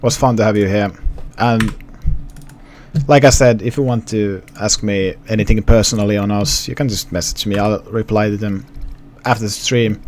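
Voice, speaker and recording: A young man from Finland with a softened Finnish accent, chatting casually to his live-stream viewers with many restarts. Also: fairly low pitch, fairly even intonation, moderate pace, slight background noise. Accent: Finnish, partly suppressed. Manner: rambling, restarts, talks to chat.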